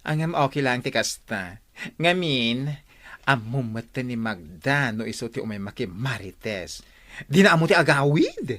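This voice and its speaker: A woman's voice: a bass voice, big pitch swings, unhurried, a bit bright, no room sound, heavily acted. A woman playing a nosy, judgmental village gossip in an audio drama, full of scandalised exclamations. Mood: gossiping, disapproving. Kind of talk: Ilocano audio drama dialogue.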